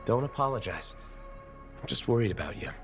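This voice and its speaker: An actor playing a calm, reassuring male hero in video game dialogue. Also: mid-range voice, fairly quick, over music, lightly acted. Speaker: player character.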